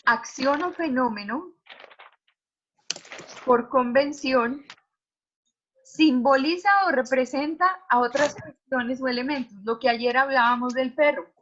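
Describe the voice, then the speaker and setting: A woman teacher giving a lecture to her students, explaining slowly with many examples and small fillers. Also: high voice, fairly bright tone, muffled recording, slight background noise.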